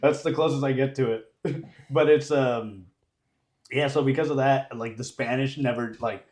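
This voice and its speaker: An American man with an ordinary mid-pitched voice talks as a podcast co-host. He tells stories in a loose, animated way, full of casual swearing. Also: moderate pace. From United States. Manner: animated storytelling, heavy swearing. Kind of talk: casual chat.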